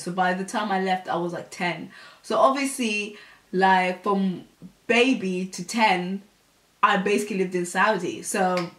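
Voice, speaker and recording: A woman's voice: medium pitch, a bit bright, reverberant. A young woman, chatting casually to camera as a vlogger. She talks in slang with lots of fillers.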